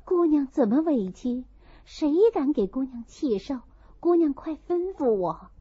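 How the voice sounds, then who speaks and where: Woman voicing a high-voiced, tactful young maid. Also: animated, unhurried, mellow, no room sound. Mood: deferential. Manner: deferential yet firm. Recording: dull lo-fi dry recording.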